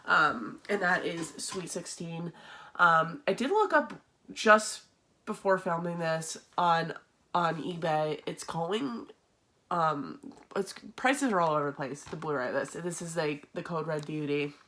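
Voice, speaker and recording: A woman's voice: low-pitched, unhurried, sharp tone. A young woman chatting casually to camera as a horror video host, sounding nasal and stuffy from a sinus infection, with frequent ums and restarts. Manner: chatty, lots of ums and restarts, laughs often. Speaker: horror movie YouTuber.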